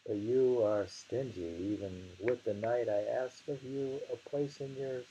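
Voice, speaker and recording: A man reading translated poetry aloud in a deliberate way and commenting on it casually, on a dull recording. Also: mid-range voice, dark tone. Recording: dull lo-fi home recording with hiss.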